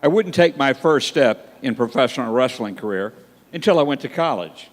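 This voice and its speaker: A man's voice: mid-range voice, animated, moderate pace. Wry, reflective man narrating. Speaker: narrator looking back. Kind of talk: scripted narration. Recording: long hall reverb.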